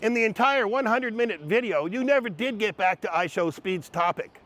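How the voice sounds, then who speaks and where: A man's voice: high-pitched, lively intonation, moderate pace, a bit bright. A man hosting a commentary video, mocking his subjects in a snarky, sarcastic way. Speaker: commentary host.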